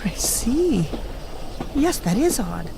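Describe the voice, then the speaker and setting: A woman's voice: fairly high pitch, very animated, takes it slowly, creaky, background noise, exaggerated voice. A woman playing a level-headed, inquiring companion in an audio drama, plain and to the point. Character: level-headed, questioning female companion to a time traveller.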